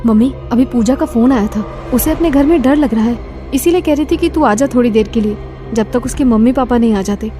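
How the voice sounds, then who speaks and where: A woman acting a frightened young woman, very high mellow voice, anxious, pleading, cartoon horror dub, faint music behind. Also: a little fast, lightly acted. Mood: explaining. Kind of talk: Hindi cartoon horror dubbing.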